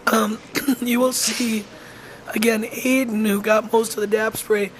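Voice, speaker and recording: A wolf center educator narrates in an informal voice-over, their voice rough and nearly gone. The delivery is halting and full of ums and false starts, with little rise and fall in pitch. A faint hiss sits under the voice. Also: flat delivery, poor audio. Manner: halting, frequent ums and restarts. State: hoarse, losing voice.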